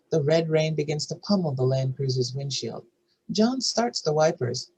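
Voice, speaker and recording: Casting: husky-voiced narrator for screenplay stage directions, scripted table-read delivery. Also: mid-range voice, a little mellow, rough recording.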